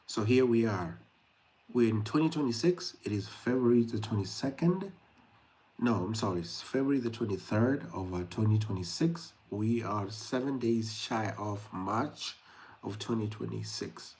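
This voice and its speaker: Man, from Kenya, young adult, slightly low voice, casual livestream host, talks straight to viewers. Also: animated, normal speed, a little mellow. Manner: chatty, addresses viewers directly, frequent "uh" fillers.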